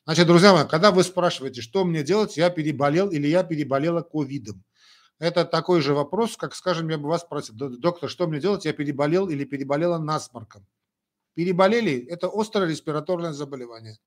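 An older man with a slightly higher-pitched voice, talking as a doctor on a live stream. He speaks bluntly in short, emphatic phrases. His talk is loose and full of fillers. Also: fast talker. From Armenia. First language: Armenian. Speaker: doctor answering viewer questions. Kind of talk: live-stream Q&A, frank and chatty.

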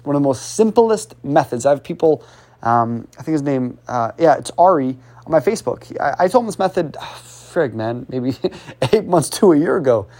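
A man's voice: a little high, moderate pace. A male speech coach talks through his methods in a loose, conversational way. He repeats himself for emphasis and sighs between phrases. His voice jumps up when he urges the listener on. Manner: animated, repetitive, urging, with audible sighs. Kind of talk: casual coaching talk.

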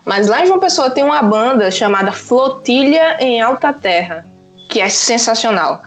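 A woman with a Northeastern Brazilian accent hosts a casual podcast conversation. Her voice sits a little high and she sounds chatty and enthusiastic, with faint music behind her. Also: very animated, moderate pace. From Pernambuco, Northeast Brazil. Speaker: podcast host.

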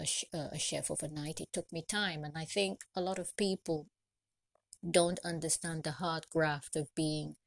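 Low-voiced woman podcasting. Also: no room sound. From Trinidad and Tobago. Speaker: podcast host, chef and caterer. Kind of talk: solo podcast talk, motivational personal story.

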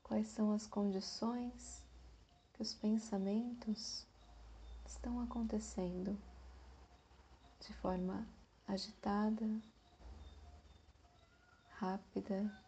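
Lady doing guided meditation, super slow w long pauses, soft breathy mellow voice. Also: mid-range voice, level tone, a little hiss or hum.

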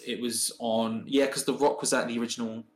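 A man's voice: medium pitch, level tone, a little fast. A man chatting casually on a podcast, rambling with lots of fillers and restarts.

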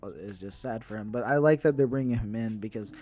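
A man's voice: fairly low pitch, quick pace, darkish tone. A man co-hosting a podcast in casual chat, emphatic and prone to repeating himself. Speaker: podcast co-host. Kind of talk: casual nerd-news chat.

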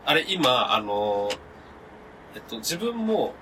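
A man's voice: medium pitch, big pitch swings, slow. A man in his thirties co-hosting a casual chat show, speaking politely and hesitantly. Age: 30s. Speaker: podcast host. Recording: clearly noisy room recording.

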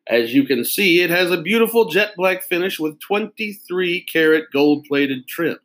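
A man voicing a fussy, pompous adult pen salesman who speaks slowly and precisely, like a sales pitch. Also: lively intonation, fairly bright tone.

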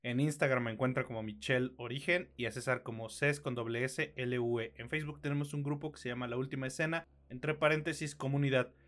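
A man's voice: medium pitch, fairly even intonation, brisk, few pauses, a bit bright. A man in his late thirties with a Mexican accent, co-hosting a casual film and TV review podcast in an opinionated, slangy, sweary manner. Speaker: podcast co-host.

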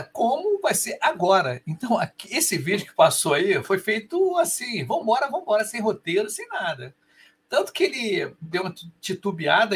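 A high, lively male voice with a Carioca accent hosts a casual podcast chat. He sounds excitable and animated, and his tone is a little husky. His pitch swings widely as he talks in quick bursts. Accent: Carioca Portuguese. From Rio de Janeiro, Brazil. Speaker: podcast host.

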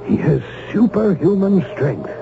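Narrow tinny radio play, cautious old-world magistrate, formal archaic phrasing, raised pitch, uneasy. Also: male voice, very animated, measured pace, gravelly, a little hiss or hum, exaggerated voice. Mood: wary. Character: uneasy, cautious colonial judge with a troubled conscience. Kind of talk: old-time radio drama acting. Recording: thin, phone-like old radio broadcast.

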